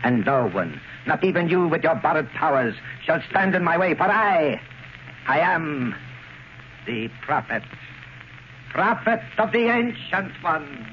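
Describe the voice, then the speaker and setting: An actor playing a menacing, grandiose cult prophet from India in a theatrical, commanding 1930s radio drama style. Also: male voice, on the high side, sing-song, a little slow. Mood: proud defiance. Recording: muffled, noisy 1930s radio recording.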